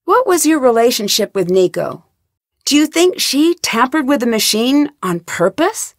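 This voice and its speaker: A woman's voice: sits high, dry recording, clean studio sound. A woman playing a young female detective in a video game, asking inquisitive, direct, persistent questions. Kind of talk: video game dialogue lines, investigative questioning.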